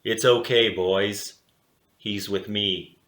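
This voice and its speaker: A man voicing a cool, self-assured pet dog who runs his own club, expressive and unhurried, in a storybook reading with room echo. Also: on the low side, pitched below the performer's own voice. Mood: reassuring. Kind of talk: storybook character voice.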